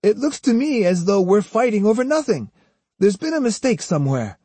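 Man playing a plucky, high-pitched young boy. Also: sing-song, normal speed, dark tone, breathy edge, no room sound, heavily acted. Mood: earnest, indignant. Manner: earnest, defiant. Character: plucky, indignant young boy held captive, bargaining. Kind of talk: audiobook character voice.

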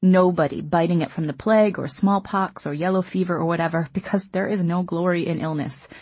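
A woman voicing a young man with terminal cancer, speaking quietly with wry, weary resignation in an audiobook. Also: on the low side, steady tone, brisk, slightly rough, no echo. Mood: wistful, bitter. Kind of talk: audiobook character reading.

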